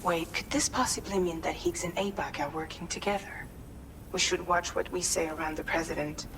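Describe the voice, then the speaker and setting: A woman's voice: fairly low pitch, brisk, background noise, close to an everyday voice. An actress playing a wary female crew member in a video game. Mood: alarmed, cautious. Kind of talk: scripted game dialogue.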